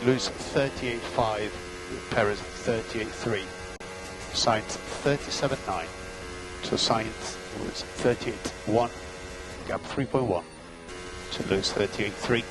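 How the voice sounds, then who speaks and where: A man's voice: medium pitch, poor audio. A man working as a race engineer, giving terse, clipped instructions and data over motor racing team radio. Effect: team radio transmission.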